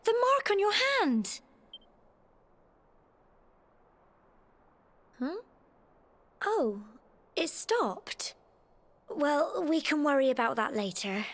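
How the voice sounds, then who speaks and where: A young woman voicing a sweet, earnest village girl in a video game, gentle and wide-eyed. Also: sits high, very animated, slow pace, pauses often, full character voice. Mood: startled relief. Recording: clear music underneath.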